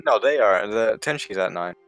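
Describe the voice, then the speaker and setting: A man's voice: lowish voice, lively intonation, moderate pace, a bit bright, soft background music. A man co-commentating a strategy game match in a chatty way, wandering into rambling asides. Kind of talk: casual co-commentary.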